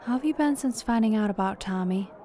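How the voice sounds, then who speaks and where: A woman's voice: medium pitch, a little fast, mellow, a faint crowd, lightly acted. Woman acting a frank, supportive friend, faint noise. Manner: conversational, frank. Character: candid, supportive female friend working in law enforcement. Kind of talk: audio drama acting.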